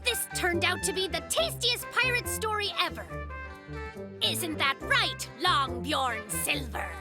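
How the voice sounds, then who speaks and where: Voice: very high voice, expressive, unhurried, exaggerated voice. An actor voicing a feisty, bossy young fox who plays pirate captain in a children's cartoon, boastful and full of mock-pirate slang. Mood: eager. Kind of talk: children's animated cartoon dialogue. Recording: music under the voice.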